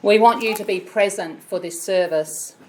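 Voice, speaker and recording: An Australian woman with a loud voice, leading a funeral service as celebrant in a measured, solemn way. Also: mid-range voice, poor audio. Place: funeral chapel.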